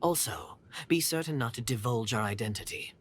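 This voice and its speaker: An actor playing a shrewd, secretive foreign agent in a video game, with a measured, formal, condescending manner. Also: male voice, mid-range voice, expressive, breathy edge. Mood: cool, businesslike.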